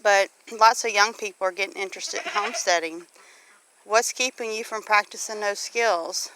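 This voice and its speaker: A woman's voice: mid-range voice, steady tone, moderate pace, sharp tone, slight background noise, poor audio. A middle-aged woman with a Southern US accent chatting casually as a homesteader, folksy and given to putting questions to the listener. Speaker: homesteader vlogger. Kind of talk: casual chat. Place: outdoors on a homestead near farm animals.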